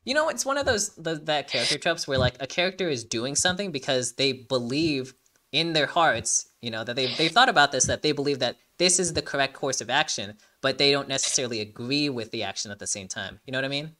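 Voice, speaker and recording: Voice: medium pitch, animated, a little fast, bright, forceful tone. An enthusiastic host giving casual reaction commentary, rambling and often repeating phrases while searching for words. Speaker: video host.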